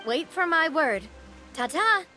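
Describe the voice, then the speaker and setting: An actress playing a confident, breezy young gang leader in a video game, brisk and self-assured. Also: female voice, very high voice, animated, sharp tone, faint music behind, exaggerated voice. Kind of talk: scripted game dialogue.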